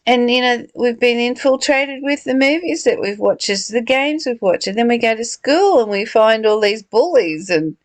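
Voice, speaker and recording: A woman's voice: fairly high pitch, animated, moderate pace, few pauses, no room sound. A woman leading a reflective spiritual discussion, earnest and given to rhetorical questions and repeated phrases. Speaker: study group leader.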